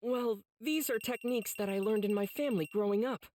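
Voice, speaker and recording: A woman's voice: on the high side, brisk, darkish tone, a little hiss or hum, close to an everyday voice. A woman playing an earnest, kind-hearted teenage boy demon slayer in an anime game, polite and eager. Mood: eager, curious.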